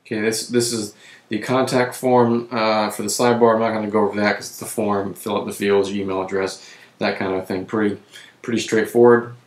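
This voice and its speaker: A man with a voice that is giving out, who clears his throat often and keeps correcting himself while walking through software on screen. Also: lowish voice, flat delivery, fairly quick, reverberant. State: losing his voice. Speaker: web tutorial presenter. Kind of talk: screen-recorded software walkthrough.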